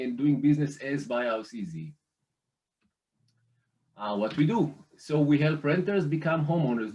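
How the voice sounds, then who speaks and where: A man from Israel with an Israeli accent, a guest walking listeners through a lending program on slides, speaking haltingly with many fillers and restarts. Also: medium pitch, a little mellow, recorded from a distance. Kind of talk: slide presentation over a call.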